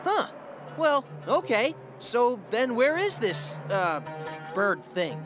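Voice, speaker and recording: Cocky cartoon hedgehog, lively pitch, unhurried, breezy, video game cutscene, loud music. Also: male voice, heavily acted. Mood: breezy, eager. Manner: breezy, casual. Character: cocky young male cartoon hedgehog hero, casual and eager.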